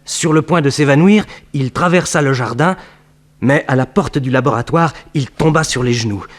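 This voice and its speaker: An actor plays a detective's earnest male sidekick narrating a vintage French radio adventure. His mid-range voice tells the story in a measured, scripted and dramatic way. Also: mid-range voice, lightly acted.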